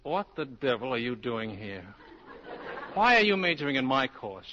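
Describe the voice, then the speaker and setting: An actor playing a brisk, self-assured male English professor with dry wit and articulate, formal delivery, in 1940s radio style. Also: medium pitch, sing-song, pushed and bright, a faint crowd. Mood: probing, flustered. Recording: dull, noisy 1940s radio broadcast.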